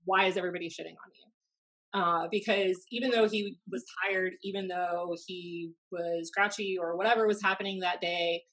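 A woman's voice: lowish voice, moderate pace, low-quality recording. Blunt American woman chatting casually. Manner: animated, blunt, sweary storyteller. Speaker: podcast host. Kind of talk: casual chat, anecdotes. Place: small room at home, indoors. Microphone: desk podcast microphone on video call.

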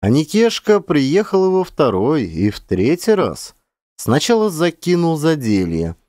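A man narrating a literary audiobook as an expressive storyteller. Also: on the high side, measured pace, dry recording, clean studio sound.

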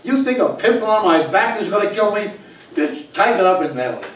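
A man's voice: high voice, sing-song, brisk, a bit bright. An older man playing a stubborn, thrifty immigrant grandfather in a live comic stage play, with a dry, argumentative way of talking. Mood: stubborn, storytelling. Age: older, seventies or over. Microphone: distant camera microphone in the audience. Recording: distant, echoey stage recording with noise.

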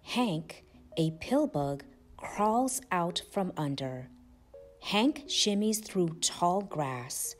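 Female storyteller, lowish voice, unhurried and lively in pitch, children's read-aloud over soft music. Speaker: storyteller and channel host.

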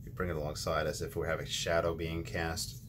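A man's voice: very deep voice, fairly quick, noisy background. A man hosting a watercolor painting tutorial, talking casually as he paints with frequent ums and restarts. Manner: relaxed, thinking aloud with ums and restarts.

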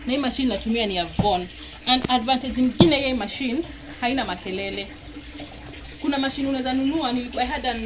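A Kenyan woman filming a casual how-to on her phone in a small home laundry area, chatting and pointing things out. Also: a little high. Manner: chatty, mixes Swahili and English, pointing things out. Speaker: vlogger. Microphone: handheld phone camera. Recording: noisy with running water.

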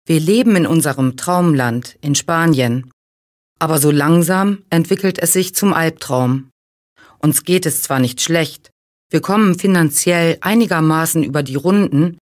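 A woman reading a scripted personal account for language learners in a plain, even way. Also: sits low. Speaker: reader of listening texts.

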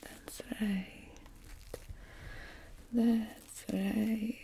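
Woman doing creepy wheedling vampire ghoul, croaky asmr whisper, super slow and bossy. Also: mid-range voice, flat delivery, mellow, a little hiss or hum, low-quality recording. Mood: bossy, hushed. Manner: cooing, coaxing, drawn-out. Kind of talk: whispered ASMR hypnosis roleplay. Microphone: close microphone.

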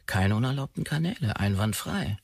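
An actor playing a dismissive, officious implant technician in a sci-fi audio drama, patronising and slightly hesitant. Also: male voice, on the low side, animated, moderate pace, creaky, husky, exaggerated voice. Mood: condescending.

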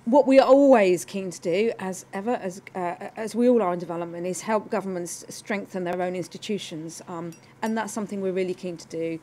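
A woman's voice: medium pitch, brisk, hardly any pauses, dark tone, a little hiss or hum. A middle-aged British woman giving formal panel remarks as a government development official. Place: conference room, indoors.

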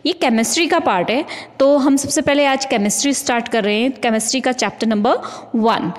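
A woman with an Indian accent and a high-pitched voice gives a school lesson. She explains in an instructive way, repeating points and often checking that students follow. The recording has long, echoing reverb. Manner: instructive, repeats points and asks rhetorical questions. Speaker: science teacher. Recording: echoing, reverberant room sound.

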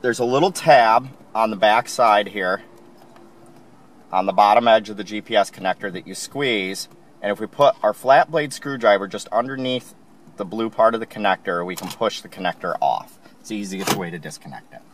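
A man calmly explaining, step by step, how to install a car part, recorded inside a car. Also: fairly low pitch, normal speed. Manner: calm, plain instructional. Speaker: installation instructor.

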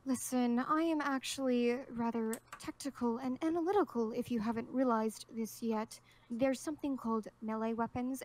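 A woman's voice: on the high side, close to an everyday voice. A woman playing a stubborn, scornful female survivor in an improvised roleplay, speaking assertively and sarcastically.

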